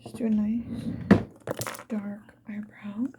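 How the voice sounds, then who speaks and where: A woman's voice: mid-range voice, little pitch movement, takes it slowly, noisy background. Whispering ASMR woman, casual and playful. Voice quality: breathy, mostly unvoiced whisper. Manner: casual, playful, chatting to the viewer. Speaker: ASMR video creator. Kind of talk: whispered ASMR get-ready-with-me chat.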